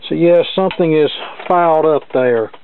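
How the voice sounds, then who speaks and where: A man talking through a hands-on repair step by step in a plain-spoken, explanatory and measured way. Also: on the high side, slight background noise. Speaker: hobbyist radio repair demonstrator. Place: indoor cluttered electronics workbench. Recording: narrow-band, dull phone-like sound.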